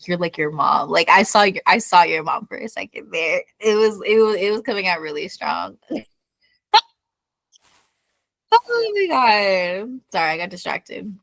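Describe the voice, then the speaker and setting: An easygoing young woman co-hosts a casual podcast, laughing often and swearing freely. Her pitch sits in the middle range. The recording is dry and close. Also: animated, a little slow, gravelly, a bit bright, no room sound. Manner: laughs easily, casual and profane. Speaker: podcast co-host. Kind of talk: casual chat.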